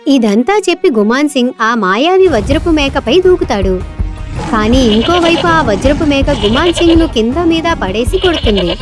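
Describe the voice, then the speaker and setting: Female storyteller, high pitch, scripted kids' tale narration, faint background music. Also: animated, moderate pace.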